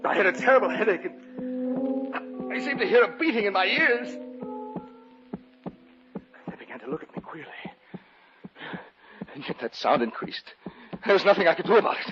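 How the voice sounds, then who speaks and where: An unhinged, guilt-racked American murderer in a vintage radio horror play. The voice is high and swings wildly in pitch, theatrical and nervy. The old recording is dull, with loud music. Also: male voice, big pitch swings. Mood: brooding, haunted. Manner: theatrical, halting, nervous. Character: unhinged male murderer, guilt-racked, feigning polite composure before cracking. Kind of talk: 1940s-50s American radio horror acting, dialogue and narration.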